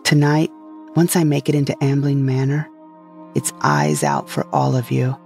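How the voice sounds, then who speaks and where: Narrator for sci-fi audio drama monologue, medium pitch, soft music underneath. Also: big pitch swings, moderate pace. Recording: faint music behind the voice.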